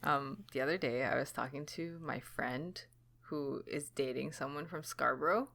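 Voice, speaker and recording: Young woman, podcast co-host, bright tone, lowish pitch, giggly casual chat. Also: gravelly. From not from Toronto. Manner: giggly, chatty, quick asides.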